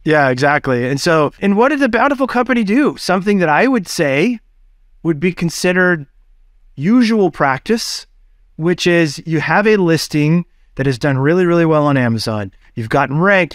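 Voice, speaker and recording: A man hosting a business podcast, talking in an energetic, chatty way with quick shifts and casual fillers. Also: on the high side, no room sound, clean studio sound. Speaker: podcast host.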